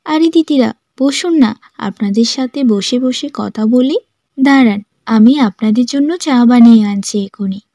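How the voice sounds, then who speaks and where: A woman voicing a gentle, earnest young daughter-in-law in a family drama cartoon. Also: a little high, a little slow, dry recording, studio quality. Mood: polite. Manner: polite, sincere.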